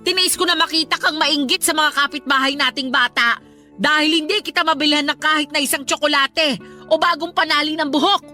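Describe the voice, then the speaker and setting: A long-suffering middle-aged Filipino mother in a radio drama, devoted to her daughter but full of grudges. Her voice is rather high and forceful, with dramatic delivery over faint music. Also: fairly even intonation, a little fast. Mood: aggrieved. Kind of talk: Tagalog radio drama acting.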